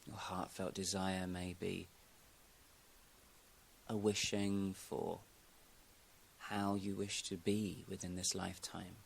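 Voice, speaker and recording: A man with a deep voice and a marked creak leads a guided meditation. He gives gentle, calm instructions in the manner of a yoga teacher. His phrases are unhurried, with long pauses left between them. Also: slight background noise, rough recording.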